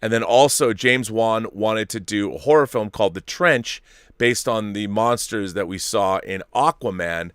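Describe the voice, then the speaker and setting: A man's voice: lowish voice, moderate pace, a bit bright, smooth, no echo. Rambling opinionated middle-aged man, dry sound. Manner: rambling, self-interrupting, opinionated. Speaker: host reading viewer super chats. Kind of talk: casual live-stream commentary.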